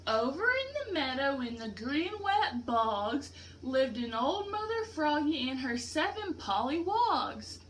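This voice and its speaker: A woman's voice: high voice, very animated, slow, few pauses, fairly bright tone, a little hiss or hum. A woman teacher doing an interactive picture-book read-aloud for a class, explaining simply and putting questions to pupils.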